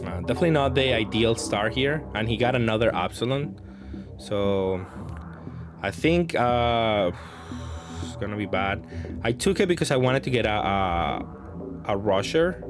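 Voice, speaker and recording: A man's voice: lowish voice, animated, measured pace, long pauses. Man thinking aloud, music behind. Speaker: card game player commentating on own matches. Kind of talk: casual gameplay commentary.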